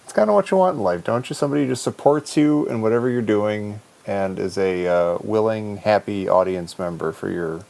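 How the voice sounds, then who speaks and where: A man's voice: fairly low pitch, fairly quick, creaky, few pauses, a little mellow. A man hosting a casual podcast chat, upbeat, laughing often and stumbling over his words. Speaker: podcast host.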